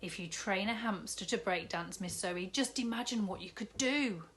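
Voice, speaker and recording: A woman voicing a big, jolly, kindly male shopkeeper in a storybook reading, warm and fatherly. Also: mid-range voice, normal speed, close to an everyday voice.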